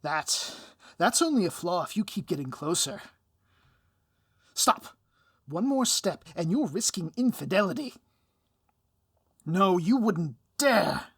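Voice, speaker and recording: A man's voice: high voice, sing-song, fairly bright tone, husky, no echo, studio quality, pitched above the performer's own voice, exaggerated voice. Man playing a grandiose, theatrical supervillain. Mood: melodramatic, flustered. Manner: grandiose, melodramatic, drawn-out emphatic delivery. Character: gloating theatrical male supervillain mastermind, melodramatic and scheming. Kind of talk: audio roleplay villain acting.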